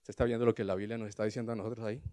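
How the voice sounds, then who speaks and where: A man in his sixties with a Colombian accent preaching a sermon, telling scripture as a dramatic story and moving between hushed asides and loud, emphatic declarations. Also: on the low side, little pitch movement, very fast. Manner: rhetorical questions to the congregation.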